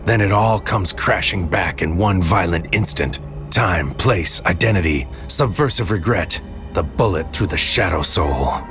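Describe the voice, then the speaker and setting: Deep-voiced, brooding man narrating. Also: expressive. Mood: tormented, tense. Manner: grave, deliberate, poetic delivery. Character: brooding, tormented male protagonist of sci-fi mystery. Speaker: narrator and protagonist. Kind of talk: first-person scripted audio drama narration. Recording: music behind the voice.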